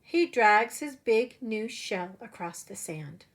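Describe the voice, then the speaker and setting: A woman preschool teacher telling a story to young children in an expressive way, with simple phrasing and direct questions. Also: mid-range voice, slow pace.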